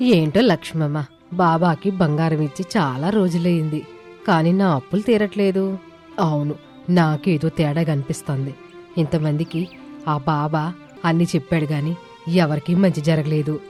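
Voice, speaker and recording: A woman voicing earnest, trusting village women in an animated moral story. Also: low voice, moderate pace, faint music behind, natural voice. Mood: suspicious. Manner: earnest, deferential. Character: troubled, trusting village women seeking a holy man's help.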